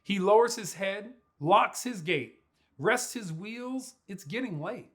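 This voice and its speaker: Give me an animated, high-pitched man reading storytime. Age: young adult. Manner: playful, expressive, with slow drawn-out words. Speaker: pastor reading to children. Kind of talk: animated children's storybook read-aloud. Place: small furnished room indoors.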